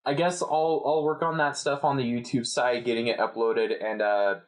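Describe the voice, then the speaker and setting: A man's voice: mid-range voice, level tone, normal speed. A young man co-hosting a casual podcast chat, joking, swearing and laughing often. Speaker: podcast co-host.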